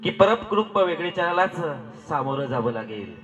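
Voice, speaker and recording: A man's voice: fairly high pitch, big pitch swings, normal speed, a bit bright, faint music behind. A man performing as the solemn narrator of a family stage play, in dramatic scripted narration. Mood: solemn, suspenseful. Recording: distant, heavily reverberant, dull sound.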